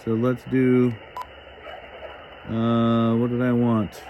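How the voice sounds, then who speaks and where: A man hosts a casual hands-on demonstration, talking slowly as he works. He thinks out loud in a halting manner, with a medium pitch. Noticeable hissy noise sits behind his voice. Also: monotone. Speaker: host demonstrating a shortwave radio.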